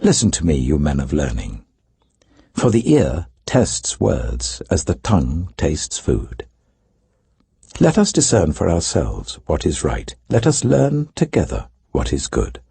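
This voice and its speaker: A man's voice: extremely low pitch, wildly swooping pitch, measured pace, creaky, dark tone, breathy edge, no echo, pitched below the performer's own voice, exaggerated voice. A British man voicing an indignant, earnest man who lectures wise elders in a grave, imperious, declamatory way, in a scripture audiobook. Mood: commanding.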